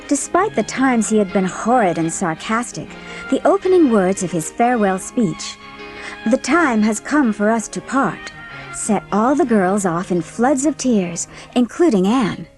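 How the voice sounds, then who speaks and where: A woman's voice: on the high side, animated, a little slow, darkish tone, faint music behind. A woman reading scripted storybook narration as the narrator of a dramatised audiobook.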